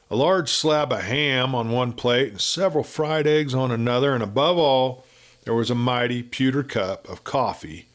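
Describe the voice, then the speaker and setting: A man reading a western novel aloud as scripted narration, in an expressive, dramatic storytelling manner. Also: mid-range voice. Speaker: audiobook reader.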